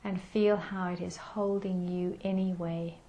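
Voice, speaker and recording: A woman leading a guided meditation in a gentle, soothing manner with unhurried pauses. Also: on the low side, level tone.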